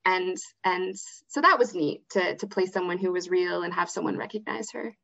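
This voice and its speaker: A woman's voice: lowish voice, normal speed, vocal fry, fairly bright tone. A woman explaining things as an interview guest, on a dull, lo-fi recording. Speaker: interview guest, theatre education manager and actor. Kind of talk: interview conversation.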